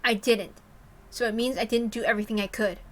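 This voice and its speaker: A woman's voice: a little high, fairly even intonation, fairly quick. A woman reading a story aloud expressively, with casual commentary of her own. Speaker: reader and commentator.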